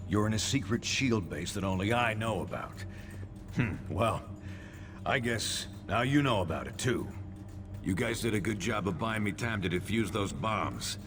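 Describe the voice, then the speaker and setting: A man voicing a gruff, middle-aged spymaster in a video game cutscene, commanding and wry. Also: fairly low pitch, animated, normal speed, natural voice. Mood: grave, wry. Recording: voice over loud background music. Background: loud music.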